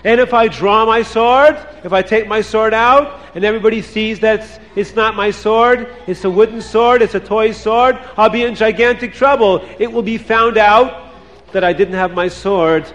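A man's voice: high-pitched, unhurried, a bit bright, highs cut off. A man voicing an anxious soldier in a story, reasoning through his trouble aloud in worried, repetitive phrases. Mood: worried, cornered. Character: anxious, quick-thinking young soldier in trouble, fretting. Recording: long hall reverb.

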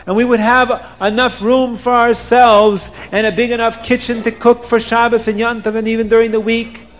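A man's voice: extremely high pitch, flat delivery, moderate pace, big-room sound, a little hiss or hum, pitched above the performer's own voice. A man voicing a poor, hopeful father of six who muses wistfully. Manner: wistful, musing.

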